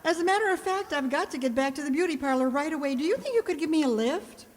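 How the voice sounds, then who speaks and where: A woman playing a wry, quick-witted schoolteacher in an old-style radio comedy, with a dry, arch wisecracking delivery. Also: sits high, lively intonation. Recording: echoey hall, long reverb.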